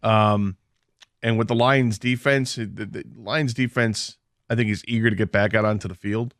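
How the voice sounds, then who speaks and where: A man's voice: fairly low pitch, fairly even intonation, normal speed, fairly bright tone, no echo, studio quality. A man co-hosting a sports radio show, breaking down a football game in a casual, analytical way. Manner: analytical, confident picks. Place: radio studio.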